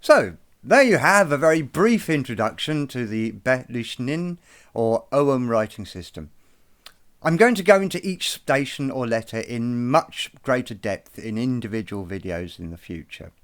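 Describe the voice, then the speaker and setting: A man presenting an explanatory lesson, speaking in a slow, measured way and taking care over each pronunciation. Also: mid-range voice, expressive, clean tone. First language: not Irish. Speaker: presenter of an educational video.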